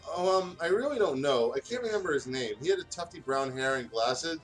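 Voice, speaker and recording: A man voices a flustered teenage girl from a fanfic, shy and awkward. The delivery is hesitant and stammering, lifted a little in pitch and lively, with music playing behind it. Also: brisk, far from the mic, lightly acted. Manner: stammering, hesitant when speaking. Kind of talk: dramatic reading of fan fiction.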